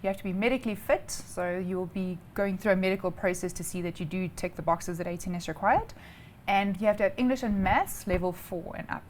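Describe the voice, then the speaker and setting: A woman's voice: mid-range voice, brisk, a little hiss or hum. A woman with a South African accent answering interview questions informally, explaining things step by step in a chatty way. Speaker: interview guest, air traffic controller.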